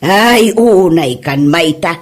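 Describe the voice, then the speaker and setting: Husky older village auntie, acted by a woman. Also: on the low side, lively intonation, slow pace. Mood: puzzled, gossipy. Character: kindly older village auntie, a gullible customer. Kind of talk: comedy audio drama acting in Ilocano.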